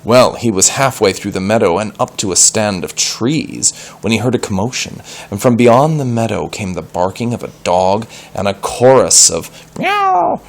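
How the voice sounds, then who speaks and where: A man reading a story aloud in an animated style, pausing to explain words and ask questions. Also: fairly low pitch.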